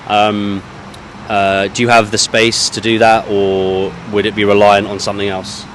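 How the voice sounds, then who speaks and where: A man's voice: fairly low pitch, monotone, measured pace, a bit bright, slight background noise. A man interviewing students on the street with a handheld mic, asking probing, leading questions and repeating his words. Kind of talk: vox-pop street interview. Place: outdoors on a street near a university.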